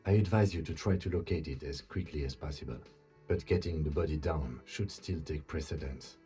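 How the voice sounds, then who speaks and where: An actor playing a calm, restrained police lieutenant in a video game, speaking in a measured, formal, matter-of-fact way. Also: male voice, a bass voice, soft background music. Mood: grave, advising.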